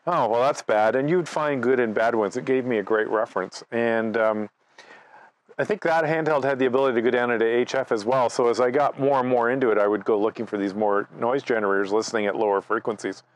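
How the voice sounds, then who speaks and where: A man's voice: mid-range voice, brisk, rough recording. A man, giving an informal presentation as a conversational, anecdotal storyteller. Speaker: amateur radio presenter. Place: outdoors by trees at a cottage.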